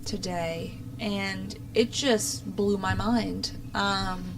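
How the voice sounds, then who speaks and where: A woman's voice: medium pitch, steady tone, drawn-out words, music in the background, poor audio. A young adult woman giving opinionated, casual political commentary as a talk show host, in an exasperated, sarcastic manner.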